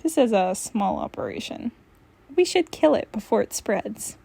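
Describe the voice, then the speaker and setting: Woman playing grumpy male cartoon cat, sarcastic, lazy, deadpan, higher-pitched, storybook read-aloud. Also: moderate pace, vocal fry, husky, no room sound, exaggerated voice.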